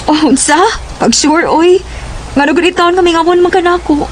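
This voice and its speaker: High-voiced young Cebuano sweetheart. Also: female voice, brisk, background noise. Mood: playful, coy. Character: young sweetheart, shy, devoted, longing for her boyfriend. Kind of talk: Cebuano radio drama acting.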